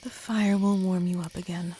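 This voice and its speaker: A woman playing a kind, protective traveller in a gentle ASMR comfort roleplay, speaking softly with some whispering and reassuring slowly. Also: lowish voice, fairly even intonation, a little hiss or hum, lightly acted. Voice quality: soft, partly whispered. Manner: gentle, slow, soothing, reassuring.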